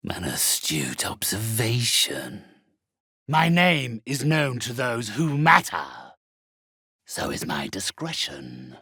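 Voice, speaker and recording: An actor playing a haughty, theatrical undead necromancer in a video game, speaking with pompous, condescending, ornate phrasing. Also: male voice, deep voice, fairly bright tone, husky, no room sound, clean studio sound, heavily acted. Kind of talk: video game character acting.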